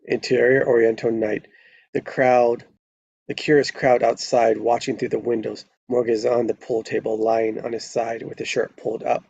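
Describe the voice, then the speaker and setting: Gravelly, flat-toned man reading stage directions. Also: medium pitch, moderate pace, no room sound, highs cut off. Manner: stumbles over and corrects words. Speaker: screenplay narrator. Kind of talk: live table read of script narration.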